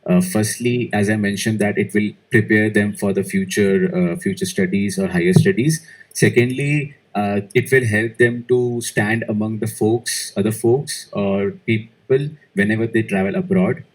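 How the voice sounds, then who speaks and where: A man whose first language is Malayalam speaks in a fairly low voice. He answers test questions hesitantly, often restarting his sentences and filling pauses with "uh.". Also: fairly even intonation, poor audio. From India. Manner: hesitant, many fillers and restarts. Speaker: IELTS speaking test candidate. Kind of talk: exam interview answers.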